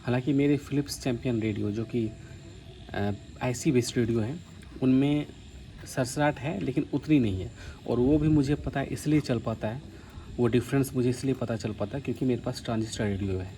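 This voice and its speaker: A man from India giving a casual, explanatory hobbyist demonstration, pausing now and then mid-sentence. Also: medium pitch, fairly even intonation, dark tone. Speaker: vlogger demonstrating a vintage radio. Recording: faint background noise.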